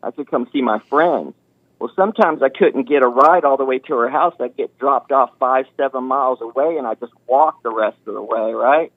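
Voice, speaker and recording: A man in his fifties calling in, telling a story in a folksy, animated way and acting out the voices of the people in it. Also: mid-range voice, moderate pace, dry recording. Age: 50s. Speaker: caller. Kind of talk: casual storytelling on a call-in show. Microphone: phone. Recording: tinny phone line.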